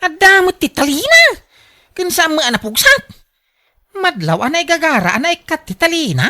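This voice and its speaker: High-pitched, anxious Ilocano woman played by an actress. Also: big pitch swings, moderate pace, pushed and bright, heavily acted. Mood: worried. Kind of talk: Ilocano radio drama acting.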